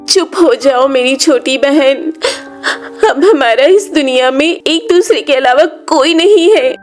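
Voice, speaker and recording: A woman's voice: high-pitched, takes it slowly. A woman voicing an earnest, protective orphaned elder sister in a children's story, speaking with strong feeling. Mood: sorrowful. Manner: earnest, emotional. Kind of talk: children's animated story dialogue. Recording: music under the voice.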